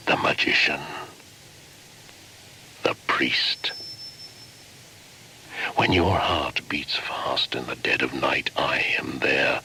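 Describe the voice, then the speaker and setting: Radio play: age-old midwinter shaman spirit, solemn menace, bottomless pitch, slow chanting delivery with whispers. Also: creaky, slight background noise, exaggerated voice, low-quality recording. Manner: slow, incantatory, breaking into hushed whispers. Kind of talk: dramatic radio play monologue.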